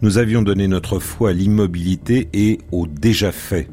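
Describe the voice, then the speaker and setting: A man's voice: mellow, soft background music. A man hosting a radio history program, interviewing a guest with many hesitations and reading a passage aloud. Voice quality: deep, measured, with hesitations. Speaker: radio history show host.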